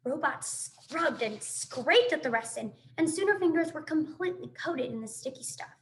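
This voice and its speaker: A woman reading a children's book aloud in an expressive, animated storytelling manner. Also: high voice, moderate pace, a little hiss or hum. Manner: animated, dramatic sound effects and asides.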